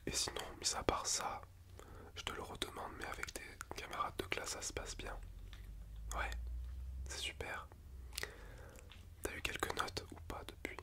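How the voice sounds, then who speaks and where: A man whispering softly as a school nurse in an ASMR roleplay, gentle and reassuring, chatting at length in a relaxed, rambling way. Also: measured pace, long pauses. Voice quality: soft unvoiced whisper.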